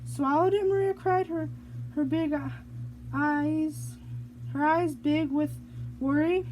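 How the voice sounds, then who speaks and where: High-voiced woman slowly reading a storybook. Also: darkish tone, a little hiss or hum, poor audio. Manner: halting, stumbles and rereads phrases. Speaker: storybook reader. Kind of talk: reading a children's picture book aloud.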